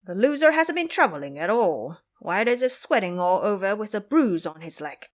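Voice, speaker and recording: A woman's voice: medium pitch, sing-song, normal speed, natural voice. A woman voicing a cowardly, lazy male pig-man who blusters and complains in an audio drama. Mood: alarmed. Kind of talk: audio drama character acting.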